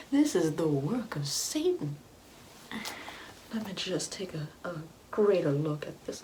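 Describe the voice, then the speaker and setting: A woman playing a sanctimonious, Bible-quoting Karen mother in an ASMR comedy roleplay, condescending and preachy, often dropping into a breathy whisper. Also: on the low side, darkish tone, slight background noise, low-quality recording. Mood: appalled, preachy.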